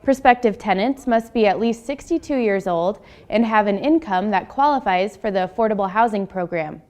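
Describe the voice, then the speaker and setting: A woman's voice: fairly high pitch, darkish tone. Woman anchor reading local news.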